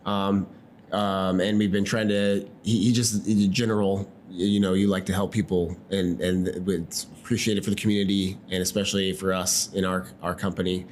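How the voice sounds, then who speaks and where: A man hosting a podcast, welcoming a guest and asking questions in a halting, casual way with many restarts. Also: low voice, level tone, slight background noise. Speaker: podcast host.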